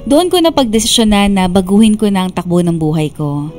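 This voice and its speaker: A woman playing a burned-out young woman who tells her life story in measured, confiding radio drama narration. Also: mid-range voice, lively intonation, a little mellow, natural voice. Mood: resolute, reflective. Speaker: narrator reading a letter sender's story. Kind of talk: scripted first-person radio drama narration. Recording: background music under the narration.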